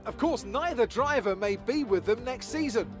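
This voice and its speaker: A man's voice: extremely high pitch, expressive, normal speed, over music. A man as a polished, upbeat TV race commentator in a video game, presenting in broadcast style. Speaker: lead motorsport commentator.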